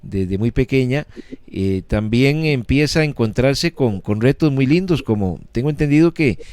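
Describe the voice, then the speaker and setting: A male radio host with a smooth, resonant voice asks his guest formal, measured questions. His speech is dotted with hesitant fillers. The recording is close and dry, with no room sound. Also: mid-range voice, animated, a little mellow, dry recording. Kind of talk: radio interview.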